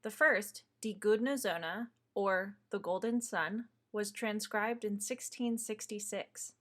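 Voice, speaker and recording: A woman reading scripted narration in a measured, explanatory way, introducing material for an audience. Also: medium pitch, fairly bright tone.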